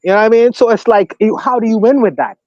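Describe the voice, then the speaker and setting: A man with a Bahamian accent, hosting a casual livestream discussion and asking provocative questions in an animated way. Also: sits high, fast, dry recording. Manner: animated, provocative questions, laughs at own points. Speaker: podcast host. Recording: dry, dull lo-fi mic.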